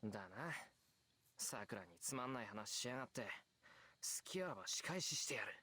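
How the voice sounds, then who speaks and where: A man's voice: medium pitch, animated, fast, slightly rough, dry recording. An actor playing an easygoing, stubborn teenage boy hero with a casual, offhand manner in a visual novel, over game music. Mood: grumbling. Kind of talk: Japanese visual novel voice acting. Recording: loud game music behind.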